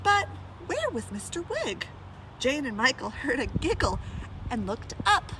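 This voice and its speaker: A woman's voice: sits high, very animated, measured pace, background noise. A woman reading a children's book aloud as a storyteller, expressively, speaking directly to young listeners with chatty asides.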